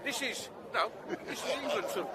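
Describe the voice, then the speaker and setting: A man's voice: very high voice, expressive, normal speed. A man at a street protest, heckling an interviewer in a combative way. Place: outdoors at a city street protest. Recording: outdoor recording with crowd around. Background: crowd noise.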